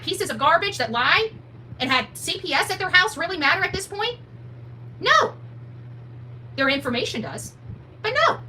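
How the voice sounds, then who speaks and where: A woman delivers combative livestream commentary in a bright, sharp, high voice. She is emphatic and blunt, throwing rhetorical questions at her audience with very animated intonation. The mic sounds distant, with a faint hum behind her. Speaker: livestream host, advocate commentator. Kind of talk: fired-up livestream commentary addressed to viewers.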